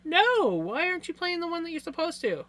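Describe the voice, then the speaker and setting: Loud-voiced woman chatting on a livestream. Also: very high voice, sing-song, brisk. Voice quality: naturally loud voice. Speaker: live stream host. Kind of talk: casual livestream chat.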